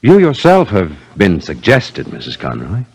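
An actor playing a calm, probing police inspector in a 1950s radio mystery, questioning in a measured, dryly skeptical way. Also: male voice, on the low side, creaky, husky, heavily acted. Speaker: actor in a radio detective drama. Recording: old 1950s radio recording, faint music.

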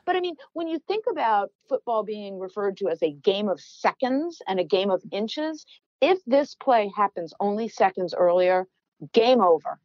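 Loud, animated middle-aged woman. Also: mid-range voice, moderate pace, no room sound, muffled recording. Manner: loud, animated, gets worked up. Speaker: podcast guest, former team executive. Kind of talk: storytelling in conversation.

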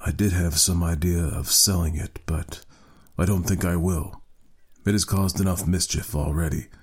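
A man voicing a grave middle-aged sergeant major in a storybook reading, haunted and weary, speaking slowly, solemnly and gruffly. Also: sits low, steady tone. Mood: weary, reluctant.

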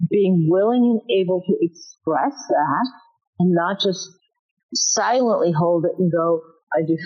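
A woman teaching self-defense in an interview, emphatic with a booming voice, often acting out example lines. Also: dull audio. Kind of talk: instructive interview talk.

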